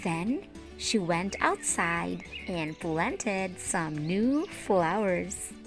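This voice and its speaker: Lady teacher reading a kids storybook, real slow n expressive, faint music behind. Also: fairly low pitch. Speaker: teacher reading stories aloud. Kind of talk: children's storybook narration.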